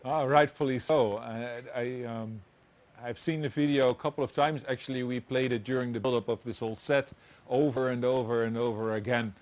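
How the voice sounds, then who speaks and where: A man hosts a live online chat in a middle-pitched voice. He stumbles and restarts often, chuckling between questions. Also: fairly even intonation, a little mellow. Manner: halting, many restarts and chuckles. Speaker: host. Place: home set with doors open.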